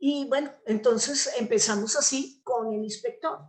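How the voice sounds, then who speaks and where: A woman's voice: fairly high pitch, a little slow. A woman with a Colombian accent answering as an interview guest, explaining in a conversational way and often adding short question tags. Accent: Colombian Spanish. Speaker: interview guest, theatre actress and playwright.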